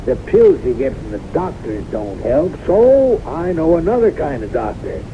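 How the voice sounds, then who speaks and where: An actor playing an insistent, jovial middle-aged father in a blustery, animated radio drama manner. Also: fairly high pitch, moderate pace. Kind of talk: 1970s radio drama acting. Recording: muffled noisy old radio recording.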